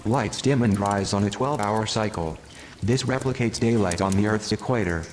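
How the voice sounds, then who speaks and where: A man playing a calm, literal station computer companion in a sci-fi audio drama, speaking in a measured, formal, matter-of-fact way. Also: lowish voice, a little hiss or hum, natural voice. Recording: long reverb, music behind the voice. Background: music.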